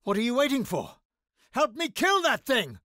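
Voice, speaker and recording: An actor playing a guarded, anxious scholar wizard in a video game, speaking in an articulate, wry and dramatic manner. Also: male voice, very high voice, wildly swooping pitch, normal speed, sharp tone, no echo, clean studio sound, heavily acted. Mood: urgent, desperate.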